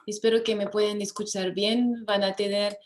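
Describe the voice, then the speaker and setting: French-accented woman, law professor, online lecture, measured with frequent pauses. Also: medium pitch, little pitch movement. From France. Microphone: computer mic over video call.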